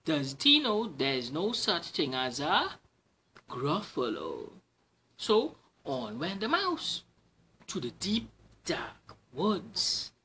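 A man in his thirties with a Trinidadian accent, telling a picture-book story theatrically, with hushed, drawn-out phrases. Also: a little high, huge pitch swings, a little hiss or hum. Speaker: children's storyteller. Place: small room at home.

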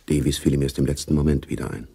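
A man's voice: extremely low pitch, level tone, quick pace, gravelly, mellow, breathy edge, full character voice. A man narrating a spy novel in a German radio drama, as a sober literary storyteller. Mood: sober, reflective.

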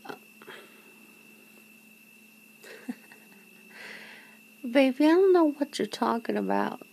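A woman playing a nervous, teasing, disbelieving girlfriend in an intimate ASMR roleplay, talking haltingly and often dropping toward a whisper. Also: sits high, animated, vocal fry, a little mellow, exaggerated voice, poor audio. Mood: playful, puzzled. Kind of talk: ASMR roleplay, one side of an intimate conversation.

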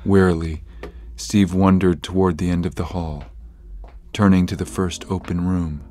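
A grave, low-pitched actor as a male narrator tells a horror tale for an audio drama. His delivery is measured and ominous, with music playing clearly behind him. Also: little pitch movement, mellow, close to an everyday voice.

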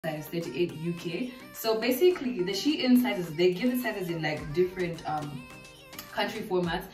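A nineteen-year-old woman from Zambia, chatting casually and excitedly through a clothing try-on haul in her living room. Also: on the low side, moderate pace. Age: late teens. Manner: chatty, bubbly, lots of fillers. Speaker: vlogger. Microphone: camera mic from across the room. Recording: echoey room, distant mic, faint music.

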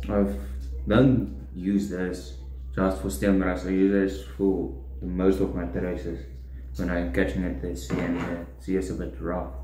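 Slow, low young man tying tackle. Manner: halting, plain instructions while tying tackle. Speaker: fishing tutorial host. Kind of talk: step-by-step demonstration. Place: indoors at a wooden table, echoey room. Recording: roomy echo, faint room noise.

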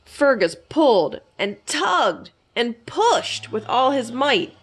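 A woman reading a children's story aloud as a library storyteller, in an expressive, simple storytelling manner. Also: a little high, slow pace, husky.

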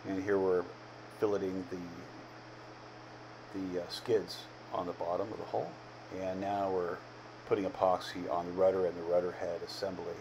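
A man in his fifties giving a casual, plain-spoken, unhurried voice-over as an amateur builder narrating his project. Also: deep voice, level tone, noisy background. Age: 50s.

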